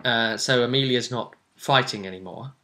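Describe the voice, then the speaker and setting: Bright-voiced man, casual game master. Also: mid-range voice, moderate pace. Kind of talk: casual recap of a tabletop game story.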